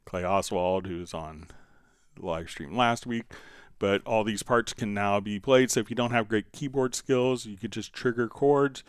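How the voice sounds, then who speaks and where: A man's voice: lowish voice, clean tone, no room sound, studio quality. A man hosting a casual live Q&A, conversationally reading out viewer comments and answering them. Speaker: livestream host answering software questions.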